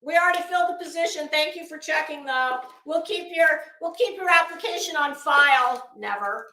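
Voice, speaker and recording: A woman's voice: high-pitched, moderate pace, pushed and bright, echoey room. A woman doing casual livestream commentary as host, blunt, salty and emphatic, with a disdainful edge.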